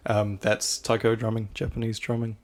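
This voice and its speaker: A young man casually chatting on a podcast about songs he wrote, relaxed and quick to laugh at himself. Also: fairly low pitch. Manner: laid-back, laughing at his own jokes. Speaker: podcast co-host and songwriter. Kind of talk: casual chat about his own songs.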